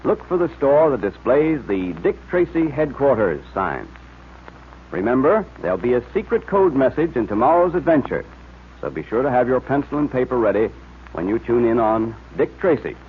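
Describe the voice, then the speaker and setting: A man's voice: medium pitch, sing-song, moderate pace. An American man announcing in a polished, emphatic 1940s radio advertising and narration style. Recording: scratchy old radio broadcast, narrow band, hiss.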